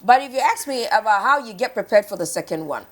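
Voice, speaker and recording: A woman's voice: a little high, expressive, quick pace, a loud crowd. A woman with a Ghanaian accent in casual morning show chat, repeating phrases for emphasis and slipping into Twi.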